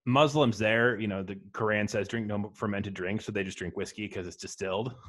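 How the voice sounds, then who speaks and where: A man's voice: lowish voice, fairly even intonation, brisk, fairly bright tone. A man hosting a podcast interview, talking conversationally, asking open questions and dropping jokey asides. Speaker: podcast host. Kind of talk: casual interview chat.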